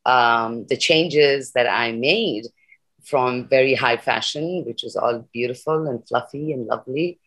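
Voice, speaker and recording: A woman's voice: rumbling low, a little slow, a bit bright. A middle-aged woman from Nepal, raised in India, speaking earnestly and passionately as a guest on a video call. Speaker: guest, sustainability activist. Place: room at home. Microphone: laptop webcam on a video call.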